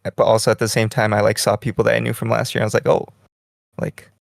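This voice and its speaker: A young man co-hosting a podcast in casual chat, rambling in a relaxed way and saying "like" constantly, lying in bed in a small bedroom. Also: on the low side, fast talker, gravelly, no echo, studio quality. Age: young adult. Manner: relaxed, rambling, heavy use of "like". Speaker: podcast co-host.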